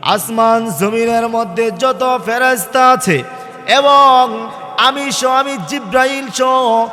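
A man preaches in a high voice, taking his time over each drawn-out phrase. His storytelling is half sung and rises into loud, impassioned cries. Long hall reverb surrounds him, with faint music behind. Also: fairly even intonation. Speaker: Islamic preacher. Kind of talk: impassioned Bengali waz sermon, half-chanted storytelling.

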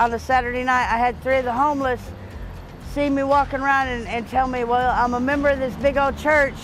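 An older woman telling her story plainly and loudly in an outdoor interview, often repeating words as she starts a thought. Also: a little high, low-quality recording. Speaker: church volunteer. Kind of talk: personal testimony interview. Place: outdoors in front of a church.

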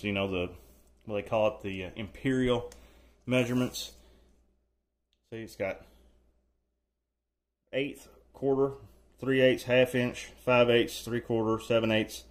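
A man's voice: mid-range voice, normal speed, pauses often. A man hosting a casual how-to video, talking in a folksy, joking, reassuring way while he works on a floor indoors, recorded on the camera mic. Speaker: DIY channel host. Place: indoors, room under renovation.

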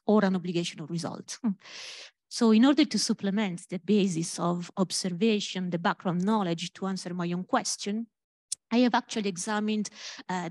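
A woman with an Italian accent giving a measured conference talk as a legal scholar, humming between points. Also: medium pitch, a little mellow, dry recording. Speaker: panel speaker, legal scholar. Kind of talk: conference presentation. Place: conference stage, large hall.

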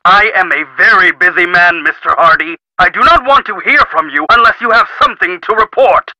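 A man's voice: sits high, fairly quick, pushed and bright, no echo. An actor playing a stern, self-important businessman in a video game, formal and curt, through a telephone filter. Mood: curt, impatient. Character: stern, self-important busy businessman giving covert orders. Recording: dull, filtered phone-call sound.

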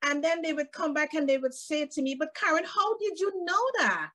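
This is a middle-aged woman with a Guyanese accent and a fairly high voice. She talks in a jovial, laughing way as a podcast guest. She is on a video call from her home. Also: animated, moderate pace, fairly bright tone. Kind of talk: casual interview chat. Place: home room with bookshelves.

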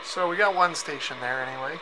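Higher-pitched man, casual radio demo. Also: a little fast. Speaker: radio reviewer. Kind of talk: casual hands-on demonstration commentary. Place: concrete-walled cellar. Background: shortwave radio noise.

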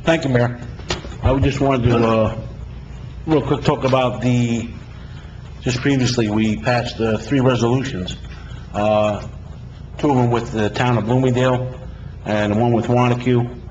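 A middle-aged man, a councilman, giving informal, halting, complimentary remarks at a public meeting. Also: mid-range voice, fairly even intonation. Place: indoor council chamber. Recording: slightly muffled, faint room noise.

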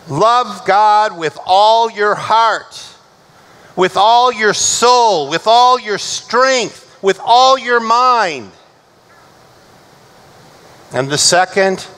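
A middle-aged male pastor delivering a measured, emphatic sermon from a church pulpit. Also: high-pitched, a bit bright, smooth. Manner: measured, emphatic preaching, slowing for recited scripture.

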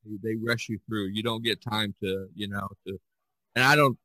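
A man hosting a podcast, talking casually about art in a rambling, halting way with frequent restarts. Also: on the low side, fairly even intonation, pushed and bright, dry recording, poor audio. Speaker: podcast host discussing art. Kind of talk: casual conversational discussion.

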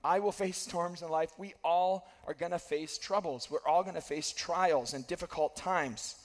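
A man in his thirties preaches from a large church stage, his fairly high voice worn a little hoarse. He speaks earnestly and drives points home by repeating them. The sound carries a long reverb from the hall around him. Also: normal speed. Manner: earnest, repeats key phrases for emphasis. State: losing his voice, slightly hoarse. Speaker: pastor. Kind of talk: sermon.

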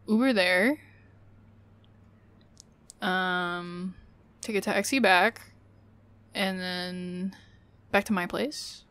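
A woman's voice: medium pitch, fairly bright tone. A woman acting out one side of a casual girlfriend roleplay as a chatty, teasing young woman, informal and a little bossy. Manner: informal, teasing, giggly, swearing. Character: playful, chatty, bossy young woman on a dinner date with an old friend.

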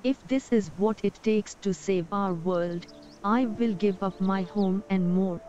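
A small young dwarf woman healer acted by a woman in a fantasy game, earnest and resolute. She speaks in a measured, wistful way at a middling pitch, on slightly dull audio. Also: mellow, a little hiss or hum, lightly acted. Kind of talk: dramatic video game dubbing.

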